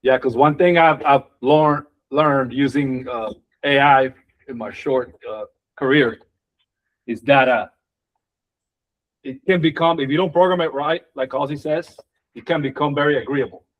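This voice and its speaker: A man's voice: medium pitch, a little slow, lo-fi sound. A man who is a real estate agent, talking casually in a team meeting, hesitant, with many restarts and fillers.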